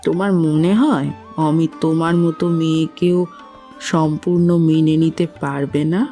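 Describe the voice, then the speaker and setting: A woman playing a caring, plain-spoken older motherly matron in a literary audio drama, with a gentle, concerned, homely manner. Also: deep voice, fairly even intonation, moderate pace, faint music behind, natural voice. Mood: probing.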